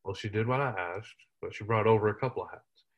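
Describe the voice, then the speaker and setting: A man's voice: lowish voice, fast talker, muffled recording. A man from West Texas, telling humorous stories as an interview guest. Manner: lighthearted, humorous storyteller. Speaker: interview guest, military veteran. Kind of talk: storytelling in casual interview.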